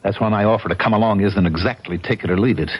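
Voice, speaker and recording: A man's voice: fairly low pitch, fast talker, breathy edge. An actor playing a cold, menacing swindler turned killer in a vintage radio drama, both in dialogue and telling his own story. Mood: cold, taunting. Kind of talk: 1970s radio drama acting and first-person narration. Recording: muffled old radio broadcast, loud music.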